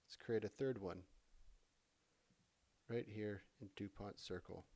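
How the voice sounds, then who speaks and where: Low, creaky-voiced man narrating a tutorial. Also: steady tone, slow, warm tone. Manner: conversational, explaining step by step. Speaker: software tutorial instructor. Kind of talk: casual instructional screencast narration. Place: voice-over for a screen recording.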